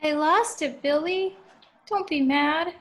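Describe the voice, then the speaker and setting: A woman's voice: high-pitched, slow pace, fairly bright tone. A woman playing an eerie, pleading ghost of a little boy in an amateur screenplay read.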